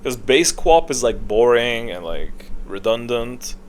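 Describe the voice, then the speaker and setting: A man's voice: mid-range voice, sing-song, slow pace, a little hiss or hum. A man giving casual off-the-cuff gaming commentary, thinking aloud, hesitating and backtracking as he ranks things. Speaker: gaming video creator ranking voice lines.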